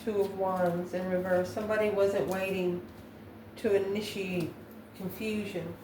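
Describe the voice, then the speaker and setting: A woman's voice: medium pitch, level tone, a little slow. Echoey, distant woman reading tarot. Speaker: tarot card reader, channel host. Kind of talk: improvised spiritual tarot reading, talking to viewers. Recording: echoey room, distant mic, faint noise.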